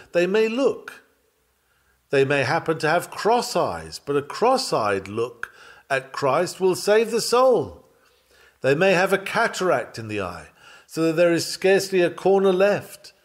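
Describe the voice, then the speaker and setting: Guy preaching an old sermon, earnest and dramatic, voice swings up and down a lot, medium pitch. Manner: earnest, emphatic, dramatic phrasing. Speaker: reader of a historical sermon. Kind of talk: sermon read aloud, oratorical.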